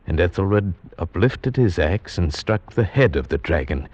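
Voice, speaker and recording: Uneasy formal gentleman narrator, old time radio horror, lowish voice, muffled vintage recording. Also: animated, no room sound. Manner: formal, theatrical, deliberate storytelling. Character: anxious gentleman narrator, houseguest reading an old tale aloud. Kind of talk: 1940s radio horror acting.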